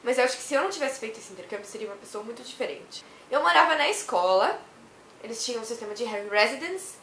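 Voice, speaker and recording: A young woman from Brazil who is hoarse from a cough, chatting to camera in a rambling way and laughing often. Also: fairly high pitch, animated, fast, a bit bright, reverberant, slight background noise. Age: young adult. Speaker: vlogger. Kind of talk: casual chat to camera.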